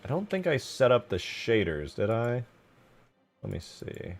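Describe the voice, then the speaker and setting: A man's voice: mid-range voice, wildly swooping pitch, measured pace, soft background music. A man giving casual screen-share commentary as a game modder, thinking aloud as he works. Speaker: game modder showing work in progress.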